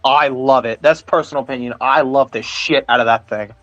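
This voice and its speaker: A man's voice: medium pitch, fairly even intonation, brisk, a bit bright, dry recording. An American man chatting casually as an enthusiast stream co-host, earnest and enthusiastic, often repeating his phrases for emphasis. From Ohio, United States. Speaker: stream co-host, weather radio collector. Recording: dull, lo-fi voice chat audio.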